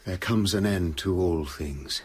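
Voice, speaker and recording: An actor playing a tormented British gentleman scientist confessing his dark side in a brooding, literary radio drama narration. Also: deep voice, unhurried. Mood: reflective, uneasy.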